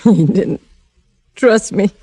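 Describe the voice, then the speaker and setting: Radio crime drama, fading female crook in agony, breathy and subdued, halting delivery, very close and dry. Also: medium pitch, warm tone, no room sound. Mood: bitter, confessing. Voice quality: breathy, broken whisper. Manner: halting, strained. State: in pain, gasping, fading. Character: dying female crook, stabbed, bitter and in pain. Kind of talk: old-time radio detective acting.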